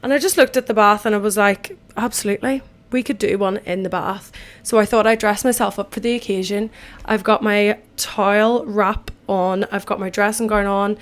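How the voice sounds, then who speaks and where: Irish girl in her twenties, croaky voice, rambly chatty self-deprecating podcast talk from the bath. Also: mid-range voice, steady tone, normal speed. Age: 20s. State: croaky from a night out. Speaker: podcast host. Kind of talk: casual solo podcast chat. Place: empty bathtub at home.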